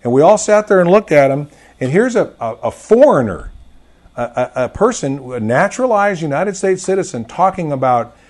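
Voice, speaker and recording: A man's voice: on the high side, big pitch swings, normal speed, a little mellow. A man speaking as an interview guest, telling anecdotes casually in a folksy, emphatic way and acting out dialogue. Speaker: gun store owner, interview guest. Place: inside his gun store.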